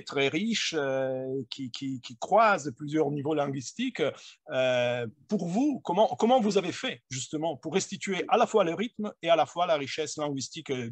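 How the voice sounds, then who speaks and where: A man interviews a guest in a fairly high voice, recorded close with no room sound. He frames long questions and restarts phrases as he goes. He speaks as a cultural journalist. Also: dry recording.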